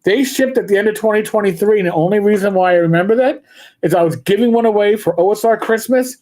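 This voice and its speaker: A man co-hosting a livestream, chatting casually about tabletop games and spinning rambling anecdotes with slow, drawn-out emphasis. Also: high-pitched, a little mellow. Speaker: livestream co-host.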